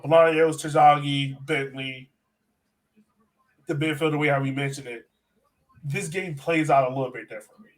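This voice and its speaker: A man's voice: fairly high pitch, fairly bright tone, poor audio. A man hosting a casual sports fan podcast, talking in a conversational, self-questioning way. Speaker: podcast host.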